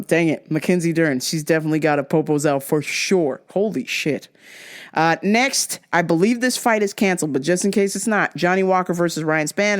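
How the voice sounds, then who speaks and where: A woman hosting a casual podcast, giving fight picks in a crude, joking, animated way. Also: lowish voice. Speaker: podcast host.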